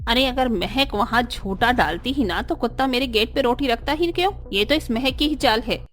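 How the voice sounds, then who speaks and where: Woman acting haughty, high-pitched scolding mother-in-law. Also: normal speed, a bit bright, close to an everyday voice. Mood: angry, accusing. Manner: scolding, boastful, accusing. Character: haughty, quarrelsome rich village mother-in-law, middle-aged woman. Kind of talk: Hindi cartoon story voice acting.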